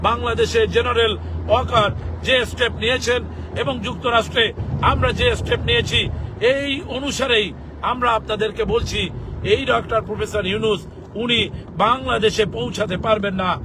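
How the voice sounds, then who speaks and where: A man with a Bangladeshi accent giving an emphatic political address to followers in halting short phrases. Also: very high-pitched, steady tone. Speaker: political activist and legal expert. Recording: loud outdoor urban traffic noise.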